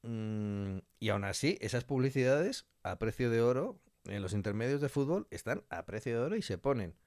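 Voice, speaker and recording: A man's voice: medium pitch, animated, normal speed, a little mellow, no echo, clean studio sound. A man with an accent from Spain talking as an interview guest, giving opinions with rhetorical questions and imagined quotes. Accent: Spanish (Spain). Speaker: interview guest, audio fiction writer.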